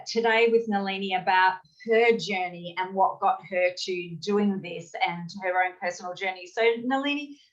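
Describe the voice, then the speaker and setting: A woman hosting an interview show, chatty and encouraging with her guest. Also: mid-range voice. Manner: warm encouraging backchannels, chatty. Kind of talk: conversational interview. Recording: slightly dull lo-fi sound.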